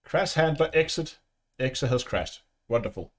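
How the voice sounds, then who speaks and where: A man's voice: mid-range voice, lively intonation, normal speed. A man with a Danish accent hosting a casual gaming livestream, chatting with viewers and laughing often. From Denmark. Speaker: livestream host.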